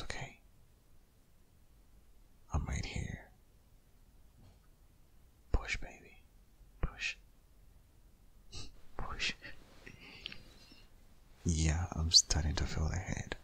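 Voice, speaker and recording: Devoted boyfriend for ASMR roleplay, played by a man: extremely low, gravelly, half-whispered, anxious yet reassuring. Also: full character voice. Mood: urgent, encouraging. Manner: hushed, urgent, coaxing, with sighs. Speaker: audio roleplay voice actor.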